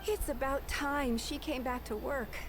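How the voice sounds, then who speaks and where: A woman's voice: high-pitched, steady tone, measured pace, slight background noise. A woman playing a critical, formal young government secretary in an anime video game.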